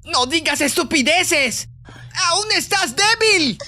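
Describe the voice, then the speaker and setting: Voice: extremely high pitch, animated, slow, sharp tone, heavily acted. A performer playing a hot-headed, protective teenage boy in an anime fandub, emphatic and earnest. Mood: indignant. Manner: emphatic, impulsive.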